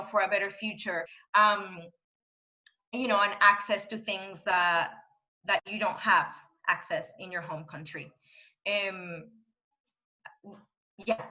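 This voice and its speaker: A woman in her thirties from Panama, speaking in a thoughtful, halting way with many fillers as a guest on a panel conversation. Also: mid-range voice, sharp tone, muffled recording. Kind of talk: reflective conversation.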